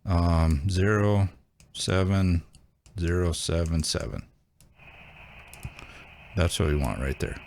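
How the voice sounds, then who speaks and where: A man with a low-pitched voice presents a casual, hands-on tutorial. He talks informally, thinking aloud as he demonstrates, like a host showing viewers around a device. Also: slow pace, pauses often, a little mellow, slight background noise. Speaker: host of a radio how-to channel.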